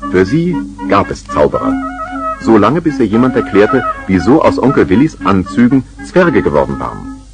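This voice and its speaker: A man's voice: on the low side, wildly swooping pitch, moderate pace, over music. A man narrating a 1970s German children's radio play as its storyteller. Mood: measured.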